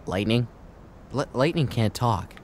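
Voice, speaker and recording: A man playing an excitable, bewildered dancer who stammers anxiously in a comic sci-fi audio play. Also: mid-range voice, a little slow, lightly acted. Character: excitable, bewildered dancer brother, turned racehorse. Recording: faint background noise.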